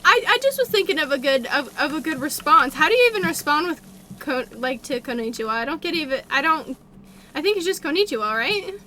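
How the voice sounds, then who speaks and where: A young woman streamer chatting casually, excitable, laughing and tripping over her words. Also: high voice, fairly quick, a little hiss or hum. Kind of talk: casual chat on a livestream.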